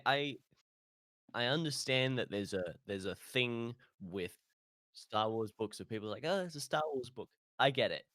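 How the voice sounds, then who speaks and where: A man's voice: medium pitch, fairly bright tone, dry recording. A man co-hosting a podcast in a casual, rambling, opinionated chat. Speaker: podcast co-host.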